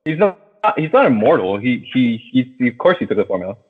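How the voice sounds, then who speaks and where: A man co-hosting a podcast, discussing a movie casually with excitable, slangy talk and plenty of swearing. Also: mid-range voice, fairly quick, slightly rough. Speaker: podcast host. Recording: thin phone-like band-limited sound.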